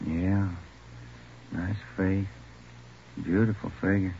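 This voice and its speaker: A muffled, faintly noisy old radio recording has an American detective partner. His low male voice is plain-spoken, steady and dry. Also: slow. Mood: dry, easygoing. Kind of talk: 1940s radio crime drama acting. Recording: muffled 1940s radio, slight noise.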